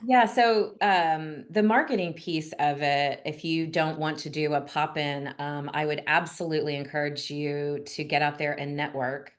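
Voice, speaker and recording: A woman's voice: sits low, fairly even intonation, moderate pace, hardly any pauses. A woman hosting a group coaching call, chatty and encouraging, with many fillers. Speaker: notary business coach, call host.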